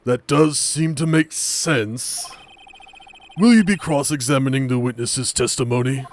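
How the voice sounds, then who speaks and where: A man's voice: mid-range voice, measured pace, slight background noise, pitched below the performer's own voice, lightly acted. A man voicing a stern, easily baffled old judge in a formal, declamatory way for an amateur game dub. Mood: formal, puzzled. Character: stern but easily baffled elderly male courtroom judge.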